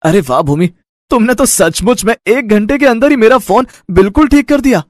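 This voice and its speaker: A man's voice: sits high, animated, normal speed, no echo, studio quality. A man voicing a young urban husband who mixes English words into his talk, in a family story. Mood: amazed.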